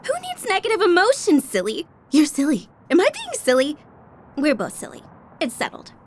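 Actress as relentlessly chipper, scatterbrained young friend; very high, giddy voice, slight background noise. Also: female voice, wildly swooping pitch, moderate pace. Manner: bubbly, giddy, singsong. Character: manically cheerful, scatterbrained young woman under a spell. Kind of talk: comic audio drama acting.

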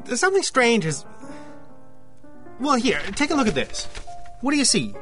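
An actor playing an awkward, earnest photographer in an audio drama, speaking in halting, hesitant phrases. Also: male voice, very high-pitched, wildly swooping pitch, fairly bright tone, faint music behind, heavily acted. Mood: uneasy, hesitant. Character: awkward, earnest freelance photographer confiding a strange story.

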